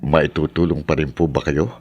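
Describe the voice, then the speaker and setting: A worried, low-voiced Filipino father. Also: fairly even intonation, moderate pace, a little mellow, muffled recording. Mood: worried, pleading. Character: worried older Filipino father, gentle and concerned. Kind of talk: radio drama acting.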